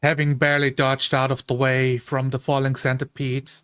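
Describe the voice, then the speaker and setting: A man's voice: mid-range voice, completely flat delivery, moderate pace, a bit bright, clear, resonant tone. A man playing a tabletop roleplay game, describing his character's actions in the first person.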